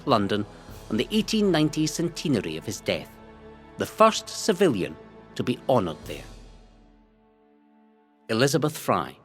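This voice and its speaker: Expressive male narrator over music. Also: medium pitch. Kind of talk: scripted historical audio guide narration.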